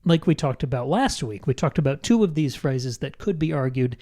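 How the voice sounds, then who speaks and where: Male podcast co-host, mellow tone, articulate and explanatory, breaking down a book. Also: on the high side, expressive, fairly quick. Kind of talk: analytical book discussion.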